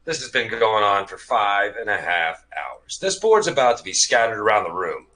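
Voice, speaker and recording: Guy playing grumbly sarcastic game-night dude, amateur script read, bright punchy voice, pitch all over. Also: very animated, normal speed. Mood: exasperated. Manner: sarcastic, complaining. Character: grumbling, exasperated male board-game player, flippant buddy.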